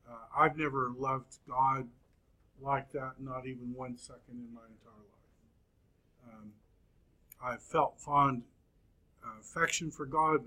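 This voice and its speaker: Man, 50s, Bible teacher, classroom lecture, very slow, halting with fillers, bright forceful tone. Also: medium pitch, rough recording.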